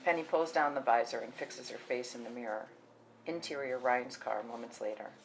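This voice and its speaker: A woman with an exceptionally low voice reads stage directions as scripted narration at a table read. The room recording carries background noise and small clicks. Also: normal speed, poor audio.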